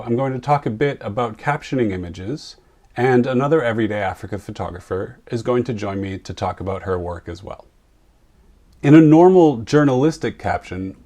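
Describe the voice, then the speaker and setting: A man who is a photographer narrates a scripted lesson in a clear, measured way. He lays out each point plainly. His voice is at an average male pitch. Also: animated, warm tone. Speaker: photographer and presenter.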